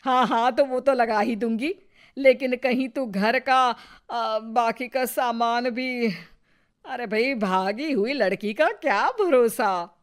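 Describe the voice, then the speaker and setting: A woman's voice: on the high side, slow pace, pitched above the performer's own voice. A woman playing a bitter, suspicious older Indian mother-in-law who taunts and needles in a Hindi moral story. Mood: taunting. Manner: sarcastic, needling.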